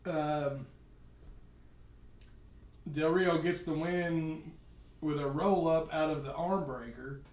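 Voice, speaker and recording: A man in casual wrestling chat, voice pitched a little high, who hedges and restarts his sentences and fills pauses with "uh" and "um". He sits away from the mic in an echoey room. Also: darkish tone, distant mic. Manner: hesitant, many fillers and restarts. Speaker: podcast co-host.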